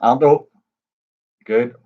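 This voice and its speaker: A man's voice: medium pitch, very slow. A man hosting a pub quiz livestream, chatting and greeting viewers by name. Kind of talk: casual livestream chat. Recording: dull lo-fi stream audio.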